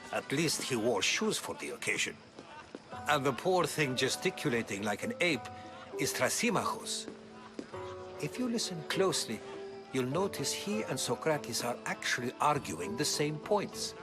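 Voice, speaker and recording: A man's voice: mid-range voice, sing-song, moderate pace, music in the background, close to an everyday voice. An actor playing a genial, learned older Greek man, wry and eloquent, in a video game cutscene. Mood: amused.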